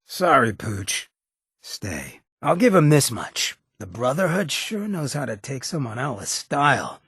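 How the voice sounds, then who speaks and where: Streetwise male ghoul drifter, extremely husky rasp, cocky slangy drawl, roguish with a conscience, video game dialogue, dry studio sound. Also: medium pitch, big pitch swings, unhurried, fairly bright tone, no room sound, heavily acted. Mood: wry, cynical. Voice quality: very raspy, gravelly.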